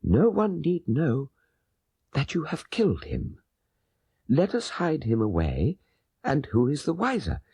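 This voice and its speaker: A man's voice: fairly high pitch, huge pitch swings, a little slow, pauses often, mellow, slightly rough, dry recording, exaggerated voice. A man voicing a loyal, conspiratorial Indian manservant in an audiobook, coaxing and reassuring. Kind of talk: dramatic audiobook character voice.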